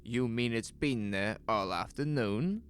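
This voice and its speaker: A man's voice: medium pitch, unhurried, clean tone, close to an everyday voice. A man acting an alarmed, insistent small-town shop owner in an audio drama, urgent and full of questions. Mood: alarmed, disbelieving.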